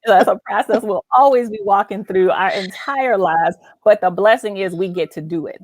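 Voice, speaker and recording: A woman's voice: mid-range voice, moderate pace. A woman with a mid-range voice hosts a faith-based conversation. She is emphatic and affirming, often repeating a phrase to drive it home. The sound is dry and close, without room echo. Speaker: talk show host.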